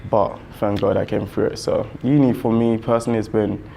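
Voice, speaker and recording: A man's voice: on the low side, fairly even intonation, fairly quick, vocal fry, warm tone, a little hiss or hum. A young man giving laid-back interview answers, full of fillers. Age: young adult. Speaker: student interviewee.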